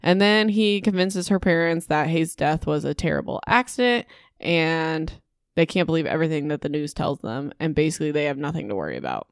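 A woman's voice: sits low, lively intonation, brisk, gravelly, no echo, studio quality. A woman hosting a casual solo book recap, sounding a bit sick, informal and sarcastic with mild exasperation. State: sick with a cold-like illness. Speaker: podcast host.

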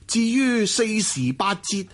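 Moneyed suitor, Hong Kong Cantonese radio drama, courteous to the point of fawning. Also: male voice, sits high, sing-song, slow, exaggerated voice. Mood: ingratiating. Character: ingratiating rich suitor, smooth-talking and generous.

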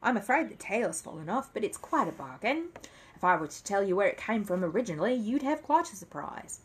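A woman's voice: medium pitch, big pitch swings, fairly quick, a little hiss or hum, voice pushed up, natural voice. Woman voicing a fussy, sarcastic male junk dealer, swooping. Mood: reluctant, coaxing. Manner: sarcastic, reluctant, haughty.

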